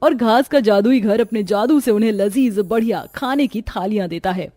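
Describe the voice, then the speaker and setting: A woman's voice: medium pitch, normal speed, mellow, no room sound. A woman giving scripted narration for a cartoon story.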